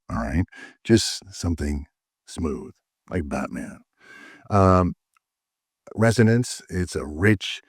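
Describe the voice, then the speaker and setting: Guy with a super deep voice doing dating coach talk, keeps saying all right?, dry close sound. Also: a little mellow, dry recording. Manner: emphatic, with frequent "all right?" check-ins; fillers and restarts. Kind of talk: direct-to-camera advice talk.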